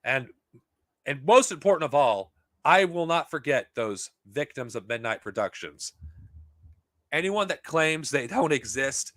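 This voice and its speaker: A man hosting a podcast, talking off the cuff to his viewers in a heated, profane, rambling way, his voice getting worn and hoarse. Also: on the high side, animated, a little slow, a bit bright, no room sound. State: losing his voice. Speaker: podcast host. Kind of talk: unscripted monologue to viewers.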